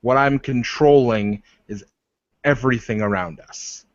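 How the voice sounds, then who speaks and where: A man narrating a tabletop roleplaying game as game master, halting and searching for words. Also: mid-range voice, dry recording, highs cut off.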